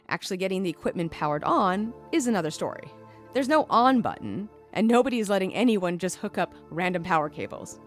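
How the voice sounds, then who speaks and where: A woman's voice: lowish voice, lightly acted. A woman playing a curious, chatty journalist sending a conversational, explanatory radio message in an audio drama. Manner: conversational, explanatory, with casual asides. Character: curious, chatty woman journalist reporting from a volcanic base camp.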